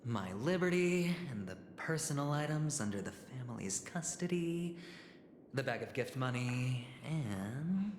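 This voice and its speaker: An actor playing a smooth, calculating male gambler in a video game, with a suave, insinuating, persuasive delivery. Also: medium pitch, lively intonation, measured pace, slight background noise, natural voice. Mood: confident, bargaining. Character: smooth, calculating gambler and negotiator, confident man. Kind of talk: scripted video game dialogue acting. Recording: echoey hall reverb under loud music.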